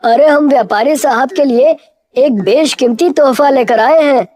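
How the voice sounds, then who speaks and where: A woman's voice: high-pitched, a little slow, darkish tone, pitched above the performer's own voice. A woman voicing an earnest, puzzled village man in a cartoon moral story, with an urgent, questioning delivery. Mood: eager.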